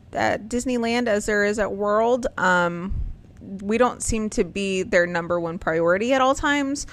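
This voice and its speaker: A woman's voice: medium pitch, a bit bright. A woman, hosting a casual chat and rambling with lots of ums and likes.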